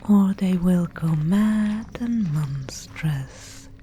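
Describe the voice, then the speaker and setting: Slow whispering, mysterious fantasy maiden guide, acted by a woman. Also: lowish voice, lively intonation, a little hiss or hum. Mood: ominous, guiding. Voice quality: breathy, unvoiced whisper. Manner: slow, hushed, solemn storytelling with deliberate pauses. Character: mysterious female Spindlemaid guide in a gloomy dark fantasy realm. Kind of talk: whispered ASMR audio roleplay.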